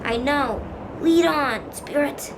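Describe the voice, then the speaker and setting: A third-grade child voicing Scrooge, a frightened old miser pleading with a ghost in a school radio play. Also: female voice, high-pitched, slow, noisy background.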